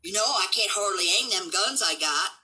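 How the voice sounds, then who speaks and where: A woman's voice: medium pitch, expressive, moderate pace, pushed and bright, rough recording. A woman in her seventies ranting unscripted to viewers as a livestream host, brash, defiant and boastful, with folksy, profane speech. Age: 70s. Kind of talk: unscripted solo rant to viewers.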